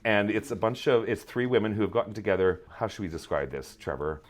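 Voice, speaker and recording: A man's voice: on the low side, fast talker. A middle-aged man chatting casually as a guest, rambling and joking at his own expense. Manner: rambling, self-mocking humour. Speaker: podcast guest, theatre maker. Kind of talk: casual chat.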